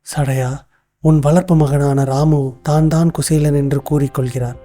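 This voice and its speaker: A man voicing a dark-toned formal royal minister. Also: on the high side, steady tone, moderate pace, soft background music, lightly acted. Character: wise cautious male royal minister. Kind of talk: cartoon voice acting.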